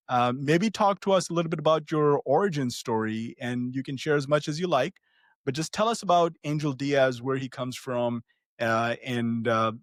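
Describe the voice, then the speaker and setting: Podcast host guy, mid pitch, easygoing with lots of ums, dry close mic. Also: no echo. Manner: relaxed, with fillers and self-corrections. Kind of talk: interview introduction.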